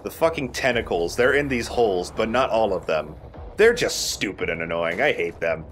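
Low-voiced man doing gameplay commentary. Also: animated, normal speed, a bit bright, soft background music. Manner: explanatory, conversational, swears casually. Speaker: let's play host.

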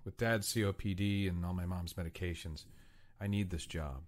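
A man plays a put-upon working man in an audio drama. The voice is deep and low. He talks tersely and guardedly, with resentment underneath. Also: fairly even intonation, moderate pace, lightly acted. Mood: resentful.

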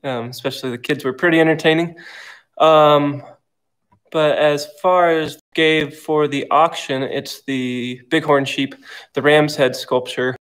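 A man's voice: fairly high pitch, fairly even intonation, unhurried, a bit bright, smooth. Casual man talking about his art. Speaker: ceramic artist. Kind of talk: casual informal talk about own artwork. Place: indoors in a room at home.

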